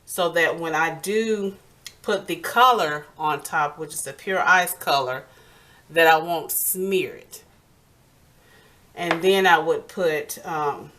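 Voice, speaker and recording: Woman doing a casual how-to, low voice, real slow, stops and starts a lot. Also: a bit bright. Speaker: nail art tutorial host. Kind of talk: casual step-by-step tutorial talk.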